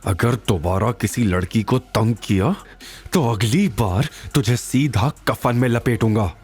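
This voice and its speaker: A man's voice: mid-range voice, measured pace, darkish tone, husky. A man voicing a fierce, protective young hero with a cocky, threatening manner in an audio drama. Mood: menacing.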